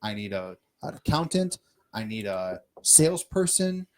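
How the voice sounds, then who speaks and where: A man's voice: fairly low pitch, measured pace. Emphatic Midwestern man on a podcast. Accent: Midwestern American. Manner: animated, emphatic, lists things off. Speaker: podcast co-host. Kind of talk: casual business chat. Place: studio.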